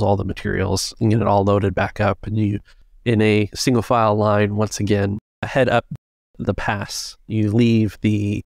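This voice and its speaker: A man's voice: on the low side, creaky, no room sound, studio quality. A man acting as game master, narrating scenes and refereeing a tabletop role-playing game.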